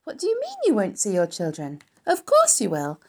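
A woman voicing an eager, innocent young male pig from a storybook, excitable and full of wide-eyed questions. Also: sits high, moderate pace, slightly rough, voice pushed up, heavily acted. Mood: earnest, excited.

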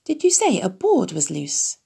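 A woman's voice: mid-range voice, huge pitch swings, a little slow, gravelly, warm tone, husky, heavily acted. A woman voicing a lonely, bored young male pig in a storybook.

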